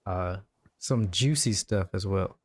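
A man's voice: low-pitched, takes it slowly, a little mellow, no room sound, studio quality. A man hosting a podcast, casually chatting and reading out entertainment news. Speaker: podcast host.

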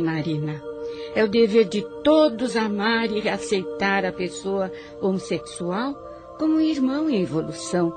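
A woman giving solemn, preachy scripted narration for a radio drama. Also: medium pitch. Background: music.

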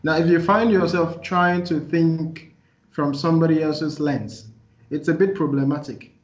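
A man's voice: a little high, steady tone, a little slow. Nigerian man explaining in an echoey room. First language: Igbo. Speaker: interviewee, development practitioner. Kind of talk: thoughtful interview answer. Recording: echoey room, muffled lo-fi sound.

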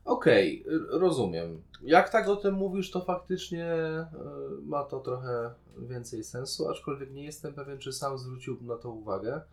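Dude chatting on a podcast, average pitch, kinda musing and slangy, thinks out loud. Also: male voice, animated, normal speed, few pauses. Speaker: podcast co-host. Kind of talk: casual chat, film and series discussion.

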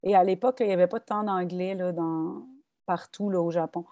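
A woman's voice: fairly low pitch, a little fast, no room sound. A woman with a Québécois French accent, a guest author talking thoughtfully about poetry in a casual interview. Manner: thoughtful, animated, many restarts and fillers.

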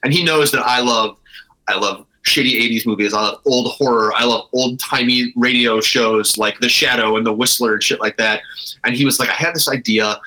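A man's voice: medium pitch, normal speed, sharp tone, rough recording. A man talking casually and enthusiastically as an interview guest, emphatic and free with swearing. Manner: self-described nerdy. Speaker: guest, brewery co-owner.

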